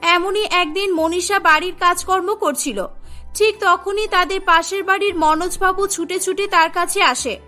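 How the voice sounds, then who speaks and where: A woman's voice: very high-pitched, fairly even intonation, normal speed, pushed and bright. A woman narrating a scripted cartoon story as the storyteller. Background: background music.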